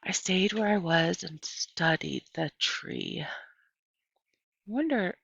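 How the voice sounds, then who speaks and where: A woman narrates a first-person story at an unhurried pace. Her voice has noticeable fry at a medium pitch. It is a dry, slightly dull recording of read-aloud fiction. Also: lively intonation, a bit bright, no echo. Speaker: audiobook reader.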